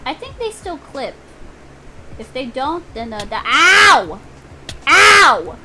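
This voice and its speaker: A woman's voice: high-pitched, big pitch swings, slow pace, shouting, over loud music. A woman doing casual live gameplay commentary in a playful, exclamatory way, swearing freely. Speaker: game streamer.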